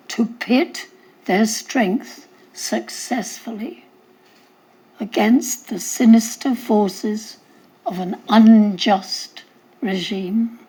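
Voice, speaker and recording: A woman giving a prepared speech at a museum event with a slow, deliberate delivery. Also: on the high side, mellow, husky, rough recording. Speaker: speaker. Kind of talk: reading a speech. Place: event hall.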